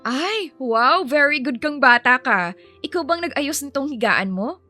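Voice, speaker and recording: A woman's voice: sits high, animated, measured pace, bright, forceful tone, no echo, faint music behind, pitched above the performer's own voice, heavily acted. A woman voicing a perky, affectionate, cheeky young nanny with a chirpy, doting manner in a dramatised story.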